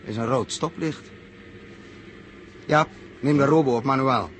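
A level-headed male crewman voiced by an actor in a sixties science-fiction radio play. He sounds matter-of-fact and reassuring at a middling pitch, with clear noise and vehicle hum behind him. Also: unhurried, lightly acted. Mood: practical. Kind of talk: 1960s radio drama acting. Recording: noisy old radio recording, vehicle hum.

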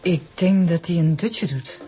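A woman's voice: lowish voice, measured pace, warm tone, slight background noise, lightly acted. An actress playing a guarded, protective unmarried woman from a farming family, with a Flemish accent, in a radio drama.

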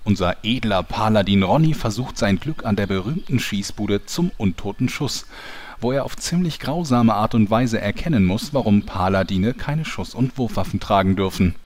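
A man's voice: on the low side, lively intonation, normal speed, darkish tone. A man narrating a comedy fantasy audio drama as a mock-epic storyteller. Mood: wry storytelling.

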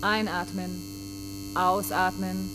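An actress playing a hospital nurse in a radio drama, giving measured, impersonal instructions. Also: female voice, on the low side, level tone. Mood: impersonal, instructing. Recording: loud humming noise, distant sound.

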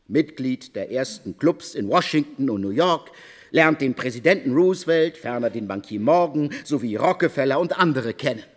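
A man giving dramatic scripted radio narration with emphatic, theatrical phrasing, in a reverberant hall sound. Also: medium pitch.

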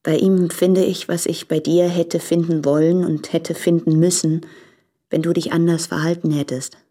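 An actress playing a tender, regretful woman addressing her former lover in a radio play, speaking in a reflective, restrained, deliberate way. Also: on the low side, level tone, dark tone, close to an everyday voice. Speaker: radio drama actor. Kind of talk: intimate literary German radio play monologue.

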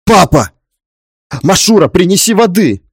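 Audiobook role: young husband, read by a male narrator, agitated, quick-tempered, exclamatory, lively intonation. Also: a little high, breathy edge, no echo, studio quality, full character voice. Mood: panicked. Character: young husband, hot-tempered, devoted to his father.

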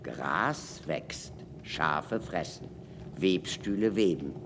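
Deep-voiced, grave older scholar. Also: male voice, animated, background noise, full character voice. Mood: musing. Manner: emphatic, deliberate, with dramatic pauses. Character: grave scholarly excavator recounting a civilisation's collapse. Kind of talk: radio drama acting. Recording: reverberant hall, music underneath.

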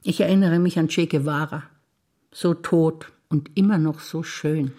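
An actress playing a wistful, playful woman recalling shared memories in a theatrical duologue, with deliberate, chant-like repetition. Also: deep voice, fairly even intonation, mellow. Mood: wistful, searching. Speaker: stage actor. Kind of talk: theatrical spoken monologue of memories.

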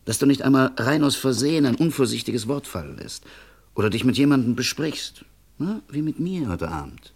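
A man's voice: mid-range voice, very animated, a little fast, a little mellow. An actor playing a level-headed, insistent male friend giving stern advice in a vintage German radio crime play, firm and reasoning. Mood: urgent, persuasive.

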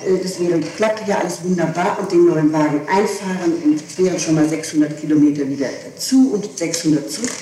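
A woman with a soft, dark voice gives a detailed statement under questioning. She insists on particulars and halts to correct herself. The old tape is heavily echoey, with noise running underneath. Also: fairly low pitch, brisk, hardly any pauses. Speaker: suspect being questioned. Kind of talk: recounting events under interrogation. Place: echoing room, archival tape recording.

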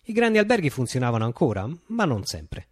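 An actor playing a visionary man recounting his utopian revolution in a declamatory, deliberate radio monologue. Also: mid-range voice, wildly swooping pitch, dry recording. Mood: fervent, visionary. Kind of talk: theatrical radio monologue, dramatic storytelling.

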